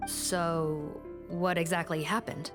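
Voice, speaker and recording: A woman's voice: on the low side, flat delivery, takes it slowly, music in the background. A woman voicing a courteous, formal and skeptical investigating official in a game. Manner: formal, questioning.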